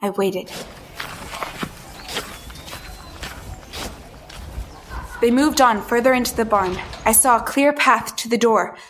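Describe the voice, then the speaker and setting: An actress playing a hunted woman who tells her story as tense, deliberate first-person audio drama narration. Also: on the high side, fairly bright tone, a little hiss or hum, close to an everyday voice.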